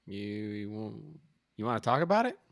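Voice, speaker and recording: A man's voice: on the low side, sing-song, normal speed, fairly bright tone, dry recording, clean studio sound. A man acting a loyal, easygoing friend in an audio drama, speaking casually with wry, supportive teasing. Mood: casual concern. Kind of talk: naturalistic audio drama dialogue.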